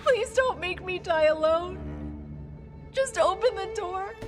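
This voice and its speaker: Tormented wife, gothic audio drama: pleading, slow, widely swinging intonation over music. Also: female voice, extremely high pitch, animated, full character voice. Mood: frightened pleading. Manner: pleading, anguished, melodramatic. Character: desperate, anguished wife locked away, pleading and accusing. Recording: music under the voice.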